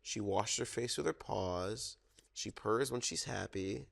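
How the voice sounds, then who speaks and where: A man reads a children's book aloud in a fairly low voice with a lot of fry. He takes it very slowly, pausing to let listeners guess along with him. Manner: interactive, pauses to prompt listeners for guesses. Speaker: storybook reader at a children's story time.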